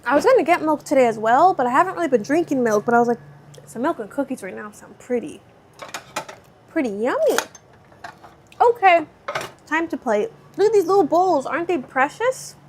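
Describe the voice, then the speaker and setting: A bubbly young woman with a light Californian accent and a bright, high voice talks easily with her viewers as she cooks at home. She slips between reading their comments and chatting about the food. A faint hum of the room sits under her voice. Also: animated, brisk, pauses often. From Southern California. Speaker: vlogger cooking live and answering chat. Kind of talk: casual live-stream chat. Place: home kitchen.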